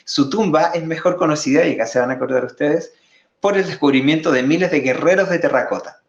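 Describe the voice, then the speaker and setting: Halting man in a roomy, muffled live stream. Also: fairly high pitch, gravelly. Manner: explanatory, halting, often repeats words. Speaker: guest presenter. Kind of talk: live online talk presenting slides.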